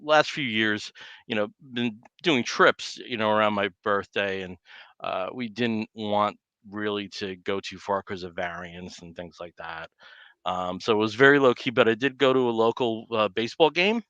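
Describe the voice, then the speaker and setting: A man's voice: lowish voice, normal speed, a bit bright, clean tone, no echo, highs cut off. A middle-aged man hosting a podcast in casual chat, rambling with lots of fillers. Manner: chatty, rambling with many fillers. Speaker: podcast host.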